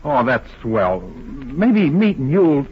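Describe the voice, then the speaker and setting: A man playing a loyal, soft-hearted cowboy sidekick, rustic and halting, with a Western American accent in radio western style. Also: mid-range voice, big pitch swings, breathy edge, exaggerated voice. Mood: earnest. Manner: rustic, plain-spoken, halting. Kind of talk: 1940s radio western acting. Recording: dull old radio recording, faint noise.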